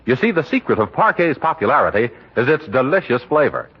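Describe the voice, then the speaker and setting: A man delivering a scripted 1940s radio announcement with a polished, emphatic sales pitch. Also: very animated, a little fast, breathy edge. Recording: dull old radio broadcast recording.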